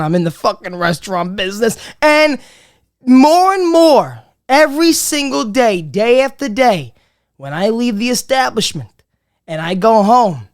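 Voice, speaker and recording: A man hosting a casual comedy podcast, talking in a rambling, profane way with many restarts while he is losing his voice. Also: high-pitched. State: losing his voice, a bit under the weather. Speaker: podcast host.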